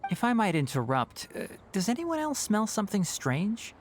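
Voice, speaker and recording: A man voicing a blunt, sharp-witted young companion in a video game, dry and teasing in manner. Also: sits high, huge pitch swings, normal speed, background noise, exaggerated voice. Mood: exasperated.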